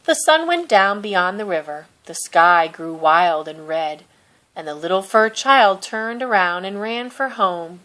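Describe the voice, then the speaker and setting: A woman reads a picture book aloud for children. Her pace is slow and her melody is lively, with gentle, expressive storytelling and drawn-out words. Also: medium pitch, low-quality recording. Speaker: storybook reader.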